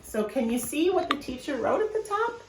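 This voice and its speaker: A woman's voice: sits high, big pitch swings, a little fast, noisy background. A woman elementary school teacher talking informally to her pupils and reading aloud, addressing the children directly. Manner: addresses children directly, asks them questions. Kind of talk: informal video message and read-aloud for pupils. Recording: echoey room.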